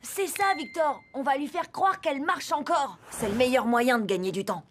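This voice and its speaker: Woman playing a high-voiced, lively cartoon boy. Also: normal speed, noisy background, close to an everyday voice. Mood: scheming. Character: lively scheming young boy, cartoon hero. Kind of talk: animated cartoon dub. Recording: music behind the voice.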